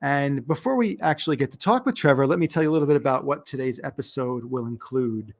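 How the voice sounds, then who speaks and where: A man's voice: mid-range voice, quick pace. A man with a New York accent hosting a podcast interview, upbeat and laughing often as he introduces his guest. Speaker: podcast host.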